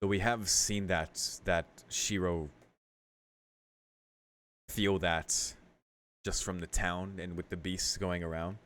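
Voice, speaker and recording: A man's voice: low voice, fairly even intonation, slow, long pauses, a bit bright. A man playing a visual novel, reading its text aloud with casual, sweary commentary and muttered asides. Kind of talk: casual gaming commentary mixed with read-aloud narration.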